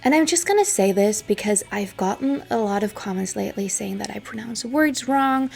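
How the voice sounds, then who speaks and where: A woman who is not a native English speaker, hosting her own channel and narrating a scripted story in a dramatic, hushed style, her phrases often trailing off into a whisper. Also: mid-range voice, animated, normal speed, gravelly, faint music behind. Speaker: history channel host.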